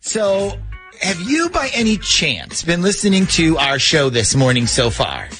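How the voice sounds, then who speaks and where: A man's voice: a little high, very animated, measured pace, fairly bright tone, soft background music. A man hosting a morning radio show, bantering his way through a prank phone call. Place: radio studio.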